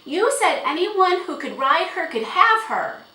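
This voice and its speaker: A woman's voice: sing-song, measured pace, pushed and bright, reverberant, heavily acted. A woman voicing an earnest, headstrong young girl in an audiobook, speaking emphatically and with open emotion. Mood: pleading, upset. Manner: high, emphatic, emotional delivery. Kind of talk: audiobook character acting.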